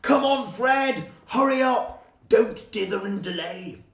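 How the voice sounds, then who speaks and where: A man's voice: high voice, takes it slowly, pitched above the performer's own voice. A man voicing a bossy, rotund bearded wizard who eagerly hurries everyone along in a storybook. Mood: bossy, hurried. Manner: shouting orders, hurrying others along. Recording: roomy, distant from the microphone.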